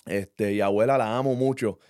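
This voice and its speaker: A man in his thirties with a Puerto Rican accent, co-hosting a podcast and talking in an earnest, reflective way, often pausing on fillers. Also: on the low side, steady tone, darkish tone, clean tone, dry recording, clean studio sound. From Puerto Rico. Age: 30s. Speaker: podcast co-host. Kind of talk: casual personal chat.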